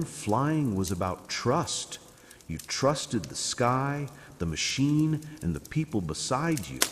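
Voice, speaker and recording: A man narrating a scripted bedtime story in a calm, soothing, dramatic way. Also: mid-range voice, big-room sound, noisy background.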